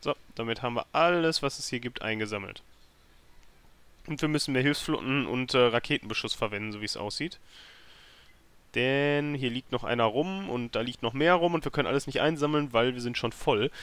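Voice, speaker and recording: A man's voice: medium pitch, brisk, fairly bright tone, clean tone. A man giving casual, thinking-aloud commentary on a game as a let's player, often grumbling and sarcastic. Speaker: let's player hosting a gameplay series.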